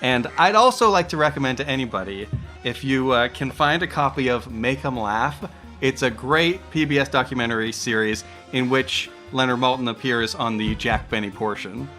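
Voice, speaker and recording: A man's voice: mid-range voice, normal speed, sharp tone, soft background music. A middle-aged American man chatting casually as he hosts a podcast interview.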